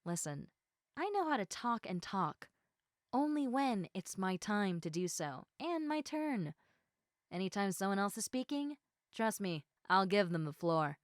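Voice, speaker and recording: A woman's voice: mid-range voice, dry recording, studio quality. A woman playing a bubbly, talkative, eager young woman in a one-sided roleplay, chatting emphatically and playfully straight to the listener. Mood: eager, reassuring. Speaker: audio roleplay performer.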